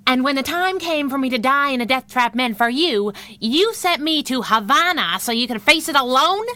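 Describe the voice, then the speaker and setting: A woman's voice: high voice, animated, normal speed, sharp tone. A woman playing a feisty, wisecracking masked heroine in a 1930s-style radio adventure, sassy and punchy with old slang. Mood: angry, sardonic.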